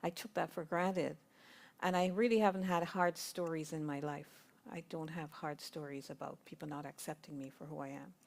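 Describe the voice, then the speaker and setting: A woman's voice: low voice, fairly even intonation, normal speed, vocal fry. A woman, sharing thoughtful reflections in a group discussion at a spiritual centre. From Guyana. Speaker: congregation member sharing.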